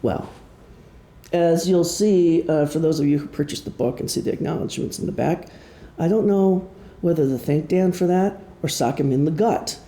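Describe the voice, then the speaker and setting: Guy doing an informal author talk, soft dark tone, kinda wry and self-deprecating, roomy echo. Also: fairly high pitch, normal speed. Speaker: author giving a presentation. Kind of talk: informal prepared talk to an audience. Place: indoor room, live presentation. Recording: echoey room.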